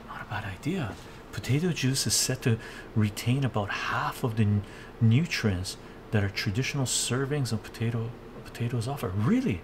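A man's voice: medium pitch, expressive, normal speed, noisy background. An older man live-streaming from his home kitchen, thinking aloud while he cooks and answering viewers' chat. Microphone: fixed camera mic, moves around the kitchen.

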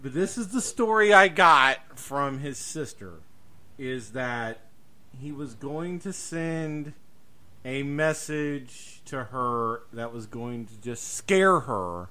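A man's voice: mid-range voice, expressive, slow, bright, forceful tone. A man hosting a casual podcast conversation, speaking emphatically and repeating himself to drive points home. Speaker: podcast host.

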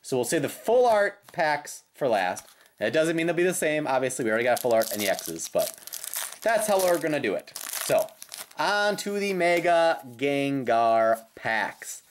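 A woman with a slightly raspy voice doing casual, playful unboxing commentary with sing-song call-outs as a host. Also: deep voice, very animated, normal speed, a little hiss or hum. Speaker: host of trading card pack openings. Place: indoors at a tabletop.

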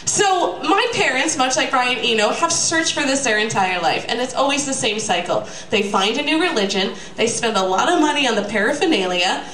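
A woman's voice: a little high, expressive, normal speed, few pauses, a bit bright. A young woman telling a comic monologue to a live audience, in a sardonic, emphatic, casual manner. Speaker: comedic storyteller at a live reading. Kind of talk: stand-up style comic monologue. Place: small live venue, bistro. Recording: echoey venue with audience, distant mic. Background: audience crowd.

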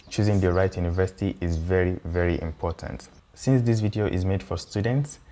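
A young Nigerian man with a Nigerian accent and a very low, deep voice talks students through a list of topics. His delivery is conversational and easygoing, sprinkled with fillers and friendly reassurances. He sounds like he is recording at a desk indoors. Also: darkish tone, a little hiss or hum. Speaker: YouTube educator for international students. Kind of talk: explainer video walking through slide topics. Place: indoor room with a pool table and windows.

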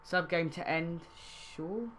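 A man's voice: on the high side, takes it slowly, a bit bright, a little hiss or hum. A young man from Wales streaming a game trading session, chatting casually and reacting to his live chat.

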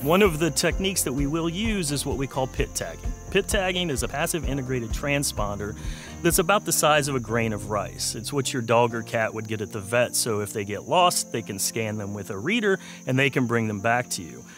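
A man in his forties explaining his work in a measured way as a wildlife researcher in a documentary interview, recorded outdoors. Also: medium pitch, animated, over music. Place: outdoors in woodland.

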